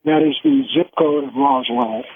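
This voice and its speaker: A man calling in to a radio show, musing in a casual, halting way. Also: mid-range voice, wildly swooping pitch, gravelly, breathy edge. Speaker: call-in listener. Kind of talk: casual radio call-in chat. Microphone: phone call. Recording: thin, narrow phone line.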